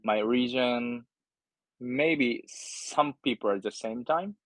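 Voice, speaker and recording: A man from Japan with a Japanese accent, chatting casually as an English learner, halting and repeating words as he searches for phrasing. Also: medium pitch, no echo. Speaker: English learner in an online lesson. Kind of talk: casual conversation practice in English.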